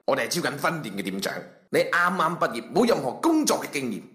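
An actor playing a stern, scornful company interviewer in a comic radio drama, formal and lecturing, with a Guangzhou Cantonese accent. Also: male voice, medium pitch, very animated, fast talker, a bit bright. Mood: businesslike. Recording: echoey room.

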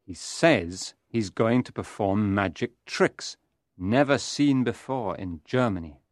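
A woman's voice: a bass voice, expressive, slow, darkish tone, no room sound, clean studio sound, pitched below the performer's own voice, exaggerated voice. A woman voicing a friendly, enthusiastic male knight who speaks eagerly.

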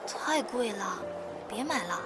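A woman's voice: mid-range voice, animated, unhurried, lightly acted. A woman playing an ordinary, modest young lover, earnest and stubbornly devoted, in a modern Chinese audio drama over music. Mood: hesitant. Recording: music under the voice.

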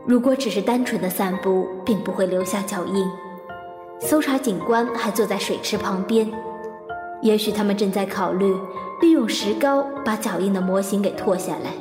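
An actress playing a polite, observant lady in an audio mystery drama, deliberate and quietly probing. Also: medium pitch, natural voice. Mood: musing. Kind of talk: radio drama acting. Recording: music behind the voice.

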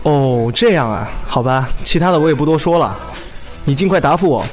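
A man's voice: a little high, animated, a little fast, close to an everyday voice. A man acting a persuasive, businesslike music director in a radio drama. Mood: cool. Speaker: actor in an audio drama.

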